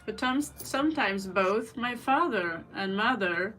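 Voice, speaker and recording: A woman's voice: mid-range voice, expressive, measured pace, bright, forceful tone, slight background noise. A woman doing a live tarot reading, chatting in a rambling way with personal asides between the cards. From Norway. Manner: rambling, personal asides, reads out viewer questions. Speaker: tarot reader. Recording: faint music behind the voice.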